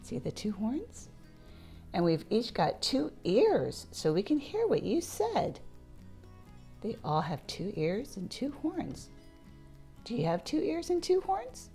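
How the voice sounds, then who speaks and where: A woman's voice: a little high, wildly swooping pitch, slow, dark tone, faint music behind. A woman reading a picture book aloud to young children in a playful, interactive storytelling manner. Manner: playful, asks listeners questions and points things out.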